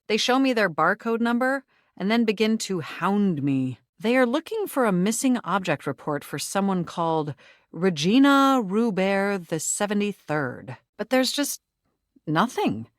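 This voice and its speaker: Woman voicing female game computer, formal, factual, affectionate, animated intonation, dry studio sound. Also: medium pitch, no room sound, natural voice. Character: polite, literal-minded female desktop computer named Mac, affectionate.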